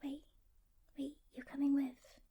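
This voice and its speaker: Girl doing soft whispery demon hunter lady, high mellow voice, guarded loner, hesitant, asmr roleplay. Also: flat delivery. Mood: reluctant. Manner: hesitant, casual, halting delivery. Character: seasoned female demon hunter, reluctant loner, guarded but caring.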